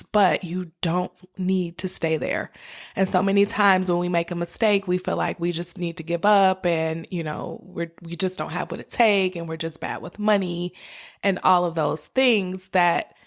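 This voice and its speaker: A woman hosting a podcast as a conversational, encouraging coach, her voice a little raspy from a cold. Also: fairly low pitch, a little slow. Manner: encouraging, direct address to listeners. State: has a cold with cough and sore throat. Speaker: podcast host. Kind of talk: personal finance podcast monologue, conversational coaching.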